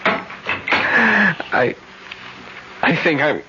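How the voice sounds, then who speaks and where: A man's voice: fairly high pitch, big pitch swings, exaggerated voice. Faint, shaken young man. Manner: halting, weak. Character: shaken, faint young man, grief-stricken vampire slayer. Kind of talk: 1970s radio drama acting. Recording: dull, noisy radio broadcast.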